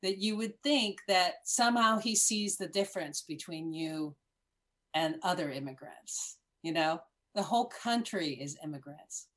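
Lowish woman guest, talks emphatic and animated, chatty. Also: measured pace. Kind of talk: casual conversation.

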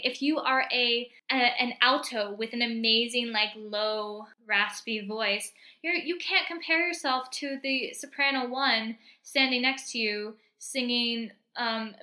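A woman's voice: a little high, sharp tone. A young woman hosting a casual solo podcast, talking in an emphatic, encouraging pep-talk way. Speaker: podcast host.

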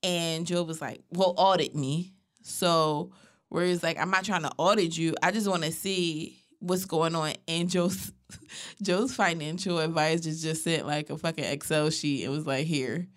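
Blunt, low-voiced woman in flat monotone. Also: a little slow. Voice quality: self-described natural monotone. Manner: blunt, profane, recaps at length. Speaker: podcast co-host. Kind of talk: casual chat.

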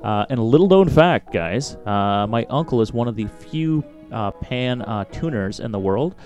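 A man's voice: mid-range voice, animated, moderate pace, darkish tone, dull audio, soft background music. A man co-hosting a casual chat, friendly, dropping small fillers between phrases. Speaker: co-host.

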